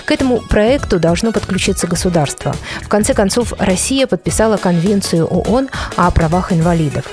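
A woman's voice: fairly low pitch, animated, fairly quick, a little mellow, faint music behind. A woman reporter narrating a scripted news report with pointed, wry commentary. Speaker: radio reporter.

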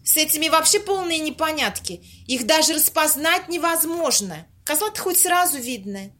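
Woman playing a grumbly bossy séance organiser, high voice, snippy, audio play style. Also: lively intonation, normal speed, sharp tone. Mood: explaining. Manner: snippy, colloquial, instructing. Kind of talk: amateur audio play acting.

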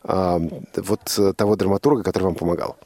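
A man hosting a radio talk show, interviewing conversationally with halting, self-correcting phrasing. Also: low voice, steady tone, mellow, dry recording. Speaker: radio host. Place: dry radio studio.

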